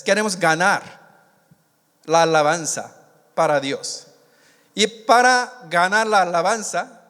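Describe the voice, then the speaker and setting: A man born in Korea and raised in the United States, preaching a sermon in a halting way, with many restarts, and stretching out the key words. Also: sits high, fairly bright tone. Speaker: missionary preacher. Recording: long hall reverb.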